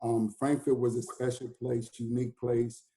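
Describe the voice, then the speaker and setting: An American man in his fifties talks through his memories in an easy, unhurried way. His voice is mellow and warm, and he laughs easily. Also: medium pitch, flat delivery. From United States. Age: 50s. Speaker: DJ and hip house artist. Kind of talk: casual conversation.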